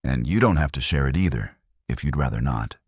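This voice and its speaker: Deep-voiced man reading as a gentle ranch widow. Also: lively intonation, brisk, slightly rough, dry recording, clean studio sound, exaggerated voice. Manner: soft-spoken, careful. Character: gentle pregnant widow running a struggling ranch.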